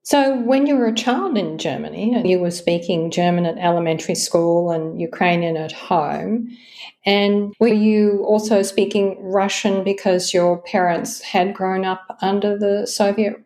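A woman interviewer asking questions in a measured way. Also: medium pitch, fairly even intonation. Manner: measured, with quiet backchannels.

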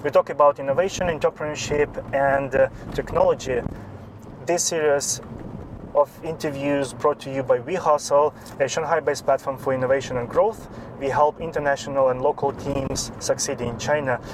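A man's voice: medium pitch, fairly even intonation, normal speed, background noise. A man hosting an interview show in a conversational way, introducing and questioning as he goes.

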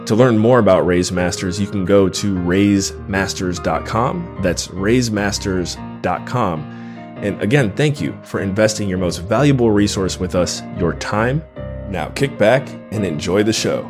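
A man's voice: sits low, a little slow, music in the background. A man hosting a podcast, enthusiastic and friendly as he introduces the show and asks questions. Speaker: podcast host.

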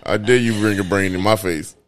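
A man's voice: fairly low pitch, brisk. A man with a Chicago accent co-hosting a podcast, trading slangy, exclamatory, joking banter in casual talk. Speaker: podcast co-host.

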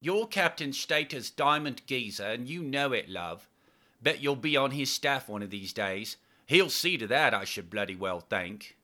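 A cheeky young East London woman played by a male narrator, pitched up and bright. She is slangy, blunt and affectionate, a sci-fi audiobook sweetheart who likes to needle her boyfriend. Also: expressive, unhurried. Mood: teasing, affectionate. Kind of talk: audiobook character dialogue.

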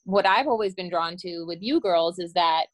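A woman's voice: lowish voice, moderate pace, no room sound. A woman from India who moved to the US, hosting a casual panel conversation. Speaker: host.

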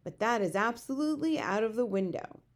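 Gentle male giant for a children's storybook, performed by a woman: resigned, muddled grammar, invented words. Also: on the high side, expressive, a little fast, natural voice. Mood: resigned, sad. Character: big friendly giant, male, gentle, gloomy, word-mangling. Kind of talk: children's storybook character reading.

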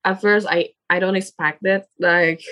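Woman, young adult, Indonesian native speaker, interview guest, slow unhurried pace, halting speech with many fillers. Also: on the low side, steady tone, sharp tone. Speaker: guest, English learner. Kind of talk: casual interview conversation.

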